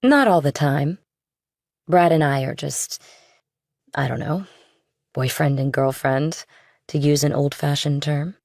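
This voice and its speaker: A woman's voice: deep voice, a little slow, pauses often, darkish tone, dry recording, clean studio sound. A woman playing a young, worldly, guarded girlfriend in an audiobook, speaking casually and directly. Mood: breezy. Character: young worldly girlfriend of a rich older man, guarded, pointed. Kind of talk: audiobook character dialogue.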